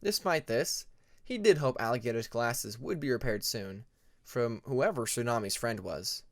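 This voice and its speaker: Animated male storyteller, dry recording. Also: medium pitch, unhurried, no echo. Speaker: audiobook narrator of fan fiction. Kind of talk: expressive scripted story narration.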